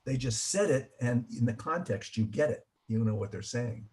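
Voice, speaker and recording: A man's voice: mid-range voice, animated, normal speed, a little mellow. A middle-aged man from Miami interviewing a guest, asking long, rambling questions in a chatty way. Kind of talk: casual interview.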